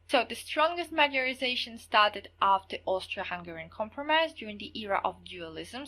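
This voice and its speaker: A woman's voice: fairly high pitch, pushed and bright, rough recording. A young woman with a Slovak accent, a non-native English speaker, giving an online history lecture and recapping key points for students with casual fillers.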